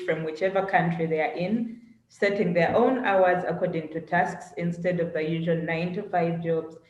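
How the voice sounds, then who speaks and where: A woman's voice: fairly low pitch, a little mellow. Female host, chatty with fillers, dull lo-fi roomy recording. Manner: conversational, many fillers. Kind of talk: panel discussion.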